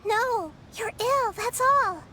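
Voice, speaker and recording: High-voiced, gentle child goddess. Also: female voice, expressive, slow, background noise, full character voice. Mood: earnest concern. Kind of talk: dramatic game voice acting.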